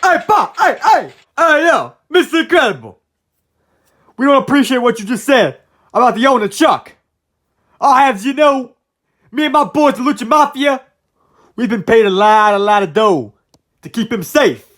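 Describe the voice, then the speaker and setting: A cocky, taunting gang boss, as a man puts him on for a homemade wrestling tale. The voice is pitched very high and stays loud and brash throughout. Every line carries a shouted threat. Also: big pitch swings, normal speed, pauses often, heavily acted. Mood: threatening. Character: tough male gang leader, threatening and brash.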